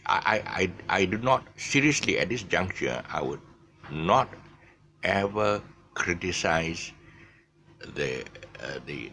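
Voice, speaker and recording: A man's voice: extremely low pitch, creaky, bright, forceful tone, slight background noise. An older man with a Malaysian English accent, giving a rambling, chatty pronunciation lesson full of restarts. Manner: chatty, digressive, many restarts. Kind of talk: rambling casual lesson monologue.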